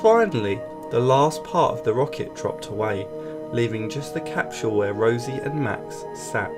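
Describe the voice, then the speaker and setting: A man reading a scripted children's story aloud in an expressive storytelling style. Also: mid-range voice, moderate pace. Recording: music bed behind voice. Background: background music.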